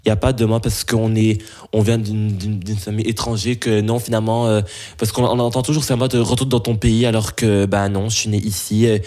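A man's voice: on the low side, level tone, fairly quick, darkish tone. A young man from Belgium answering interview questions, hesitant, with many restarts and fillers.

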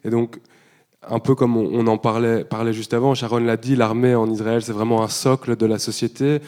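A man's voice: medium pitch, little pitch movement, brisk, a little mellow. A man moderating a panel discussion, restarting and rephrasing as he puts questions to guests. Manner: rephrasing while asking questions. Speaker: host, moderator.

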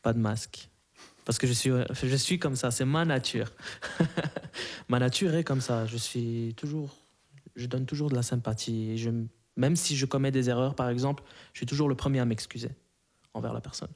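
Young man, hesitant, dark mellow voice. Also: medium pitch, fairly even intonation, normal speed. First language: Arabic. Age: 20s. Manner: hesitant, many fillers and restarts. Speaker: interviewee. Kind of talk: casual interview answers.

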